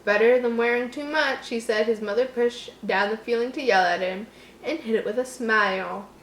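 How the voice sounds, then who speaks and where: Higher-voiced woman reading aloud in echoey room. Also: a bit bright. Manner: giggly, breaks off to mock the text. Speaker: host reading an online horror story aloud. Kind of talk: casual read-aloud with joking commentary. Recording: roomy echo, faint background noise.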